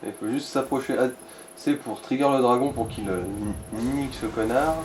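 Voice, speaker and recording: A man's voice: medium pitch, moderate pace, darkish tone, slight background noise. A man giving directions and advice in a casual gaming chat, informal and peppered with crude slang.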